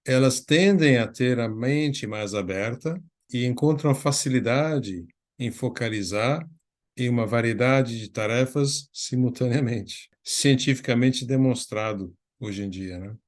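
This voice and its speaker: A man's voice: medium pitch, measured pace. Man hosting a panel, explaining. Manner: explanatory, fillers, hands the floor to others. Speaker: panel host. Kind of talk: moderating a panel talk.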